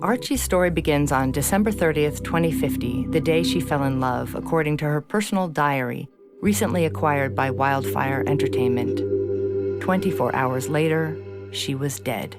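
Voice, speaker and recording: A woman's voice: deep voice, normal speed. A woman playing a smug middle-aged propaganda podcast host in audio fiction, with a polished, insinuating presenter's delivery. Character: smug fifty-year-old female propaganda true-crime podcast host. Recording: loud guitar music behind voice. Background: guitar music.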